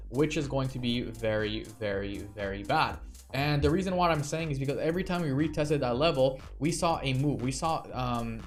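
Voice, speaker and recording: A man hosting a casual crypto trading livestream, rambling with lots of fillers and restarts. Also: mid-range voice. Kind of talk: casual live stream commentary.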